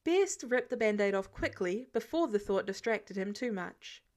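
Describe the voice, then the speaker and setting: A woman reading fan fiction aloud as an expressive audiobook narrator. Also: mid-range voice, normal speed.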